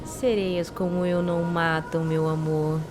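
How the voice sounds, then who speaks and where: A woman playing a seductive, sassy mermaid in an audio drama, speaking in a soft, delicate, beguiling way. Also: on the low side, level tone, unhurried, music in the background, close to an everyday voice. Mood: tender, seductive.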